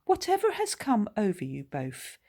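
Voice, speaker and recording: A woman's voice: mid-range voice, wildly swooping pitch, moderate pace, dark tone, voice pushed up. A woman voicing a smug, pompous schoolboy who talks in a very formal, well-spoken way. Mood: haughty. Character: smug, pompous, newly posh schoolboy son.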